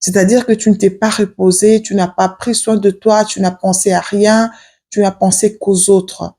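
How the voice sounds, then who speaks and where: A woman's voice: medium pitch, little pitch movement, normal speed, mellow. A woman in her forties from Africa, giving advice to her viewers in a casual vlog, earnest, with many hesitations. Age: 40s. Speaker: vlogger. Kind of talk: casual advice talk to camera.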